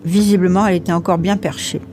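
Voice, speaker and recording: A woman's voice: on the low side, steady tone, fast, dark tone, slight background noise. A woman reading scripted first-person narration from a novel. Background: faint music.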